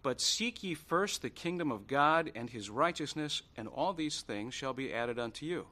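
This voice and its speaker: A man's voice: mid-range voice, expressive, normal speed, sharp tone. An actor playing a sincere, earnest and slightly hesitant young man in a radio drama. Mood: eager, earnest.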